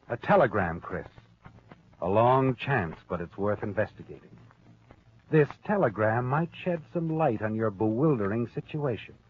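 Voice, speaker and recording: An actor playing a knowing, taunting narrator of a 1940s radio mystery, telling it in the second person with dramatic insinuation. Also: male voice, medium pitch, breathy edge. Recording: tinny, narrow old-time radio recording. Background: music.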